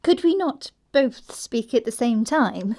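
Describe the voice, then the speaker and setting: A woman's voice: a little high, expressive, takes it slowly, darkish tone. An actress playing an earnest, romantic young lady in a stage comedy, prim and formal. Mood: sincere. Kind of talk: theatrical stage comedy acting.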